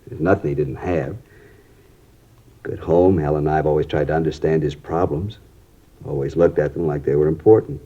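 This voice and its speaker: A husky, distraught father. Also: rumbling low, dark tone. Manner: halting, desperate, strained. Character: distraught middle-aged father. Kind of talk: 1950s radio drama acting. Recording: dull lo-fi 1950s radio broadcast.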